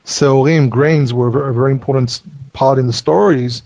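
A man's voice: mid-range voice, steady tone, a little fast, darkish tone, no echo. Man explaining scripture with many restarts. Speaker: Bible teacher explaining Hebrew terms. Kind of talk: casual Bible study discussion.

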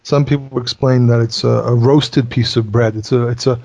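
A man's voice: medium pitch, steady tone, normal speed, creaky, a little mellow, no echo. Man explaining scripture with many restarts. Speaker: Bible teacher explaining Hebrew terms. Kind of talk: casual Bible study discussion.

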